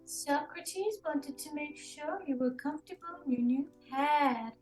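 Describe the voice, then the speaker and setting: A seductive female recruiter, played by a woman in a comedic table read, with a distinctly high voice. She coaxes and reassures, always persuading. Soft music sits behind her. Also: measured pace. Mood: reassuring. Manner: coaxing, reassuring.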